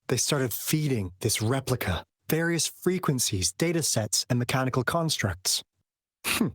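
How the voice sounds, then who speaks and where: A man's voice: mid-range voice, no echo, studio quality, natural voice. A man voicing a confident, casual young agent in a video game, explaining things in a conversational way. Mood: thoughtful. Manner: conversational, expository, breezy. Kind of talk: video game dialogue acting.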